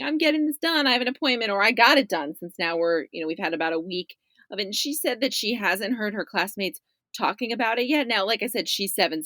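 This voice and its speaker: A woman's voice: medium pitch, fairly bright tone, dry recording. A middle-aged woman talking as a podcast guest in a chatty, emphatic way, often checking in with "right?". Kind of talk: conversational discussion of parents' views.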